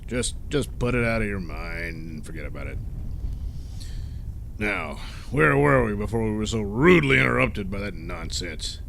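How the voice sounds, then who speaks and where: Man as a blustering, gravelly, animated superhero. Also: fairly low pitch, moderate pace, slight background noise. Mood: irritated. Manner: theatrical, blustering. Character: pompous, defensive, hot-tempered male superhero vigilante. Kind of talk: comedic superhero audio drama.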